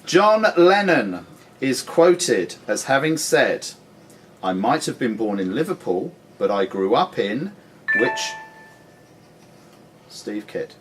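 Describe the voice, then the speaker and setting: An Englishman works as quizmaster at a live club quiz, with clear noise around him. His voice sits at a middling pitch as he reads questions in a deliberate, formal way and hands out brisk rulings. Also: sing-song. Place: sports and social club room.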